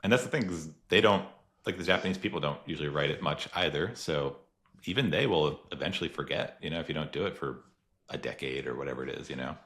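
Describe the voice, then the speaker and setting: A man's voice: rumbling low, quick pace, a bit bright. A man hosting a podcast interview, talking in an easygoing, chatty way with lots of fillers and frequent laughs. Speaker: podcast host. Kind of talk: casual conversational interview.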